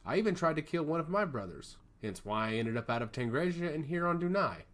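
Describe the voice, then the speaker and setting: A man voicing a charming god of chaos in a fantasy story, speaking with calm, theatrical confidence. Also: on the high side, fast, voice pushed up. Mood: guarded, candid.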